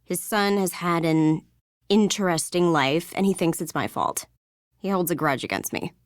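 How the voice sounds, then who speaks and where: An actress voicing a candid small-town young outsider in a video game, talking casually and frankly. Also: female voice, lowish voice, lively intonation, moderate pace, no echo, clean studio sound, close to an everyday voice. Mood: frank, resigned.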